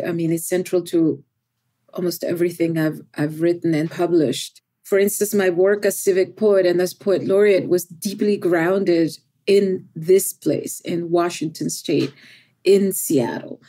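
Salvadoran-born poet lady, spanish native, warm mellow voice, thinks out loud, says "right?" a lot. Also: on the low side. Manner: thoughtful, repeats words, often adds "right?". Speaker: poet, podcast guest. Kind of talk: reflective interview conversation.

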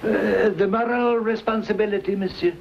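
An older man playing a gentle, wise frontier priest who speaks formally and solicitously in an old western. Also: high voice, fairly even intonation, normal speed, creaky. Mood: probing. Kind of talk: 1950s western screen acting. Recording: muffled, noisy old film soundtrack.

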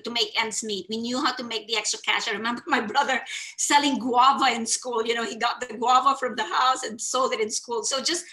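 A woman's voice: fairly high pitch, rough recording. A woman in her forties from the Philippines, speaking earnestly about her life at an online summit, recorded on a webcam in a small room. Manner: earnest, expressive, gestures with her hands. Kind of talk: personal story talk. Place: small indoor room against a plain wall.

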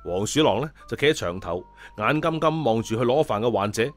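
A man with a Cantonese accent narrating a story in a lively, colloquial scripted style. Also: medium pitch, a little fast. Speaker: audiobook storyteller. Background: background music.

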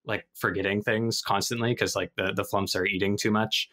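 A man's voice: on the low side, level tone, normal speed, bright, forceful tone. A man on a podcast panel chatting loosely and speculatively, often checking for agreement. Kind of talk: casual chat.

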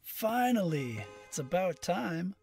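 A woman's voice: lowish voice, sing-song, takes it slowly, a little mellow, soft background music, full character voice. A woman playing a goofy, laid-back young guy in an audio drama, slangy and playful. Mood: excited.

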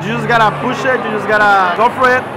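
A man's voice: high-pitched, fast talker, over music. A young man in his twenties from Mexico, answering interview questions earnestly with some hesitations. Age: 20s. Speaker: space station engineer. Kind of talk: casual interview. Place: indoor festival hall. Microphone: vlogger's handheld camera. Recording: noisy crowd, handheld camera. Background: crowd.

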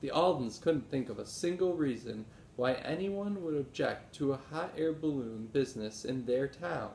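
A man's voice: expressive, normal speed, vocal fry, darkish tone. A man in his thirties reading a children's story aloud like a teacher, expressively, acting out the dialogue. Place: small room at home. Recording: roomy echo, low rumbling noise.

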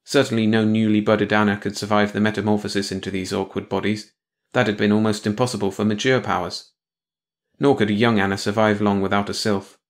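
A man's voice: on the low side, flat delivery, fairly quick. A man reading a scripted science fiction story as an audiobook narrator, in bedtime read-along style.

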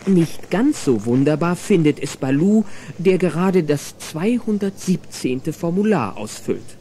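Ein Mann als Erzähler eines Kinderhörspiels, der mit verspieltem Augenzwinkern vorträgt. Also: male voice, on the high side, animated, a little slow, dark tone. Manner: storytelling, playfully wry. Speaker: narrator. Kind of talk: scripted children's audio drama narration. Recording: noisy old cassette audio drama.

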